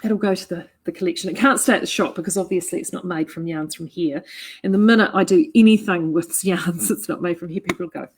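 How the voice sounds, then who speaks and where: Chatty New Zealand woman, husky voice. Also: on the low side, a little fast. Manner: chatty, enthusiastic, many fillers and restarts. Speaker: yarn shop owner and knitting vlogger. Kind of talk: casual chat to camera. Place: indoors in her yarn shop.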